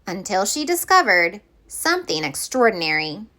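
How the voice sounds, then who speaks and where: A woman reading a children's picture book aloud as storyteller, with expressive, deliberate phrasing for young listeners. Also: mid-range voice.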